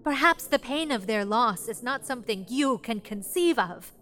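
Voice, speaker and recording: Woman playing earnest young girl in fantasy audio drama, high voice, formal precise wording, really determined. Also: expressive, normal speed, fairly bright tone, slight background noise. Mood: insistent.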